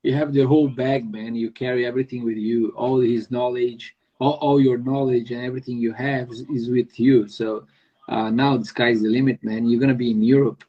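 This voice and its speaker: A man's voice: medium pitch, fairly even intonation, brisk, breathy edge, highs cut off. A male host whose first language is Portuguese, chatting casually and enthusiastically, calling his guest "man". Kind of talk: live interview chat.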